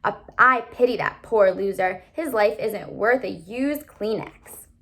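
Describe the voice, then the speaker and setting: A woman's voice: mid-range voice, unhurried, fairly bright tone. A woman voicing a teasing, gossipy teenage schoolboy in a storybook, slangy and exaggerated.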